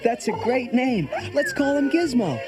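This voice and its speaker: A man acts out an earnest young man with a high, boyish voice in a children's story tape. His delivery is expressive and kind, with music running behind it on an old cassette transfer. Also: measured pace, exaggerated voice. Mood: friendly. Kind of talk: children's read-along audio drama acting.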